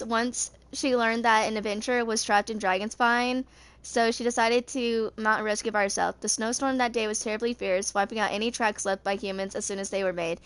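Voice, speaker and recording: A woman's voice: a little high, fairly bright tone. A woman in her twenties reading video game character lore aloud, stumbling over words now and then. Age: 20s. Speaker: gaming video creator.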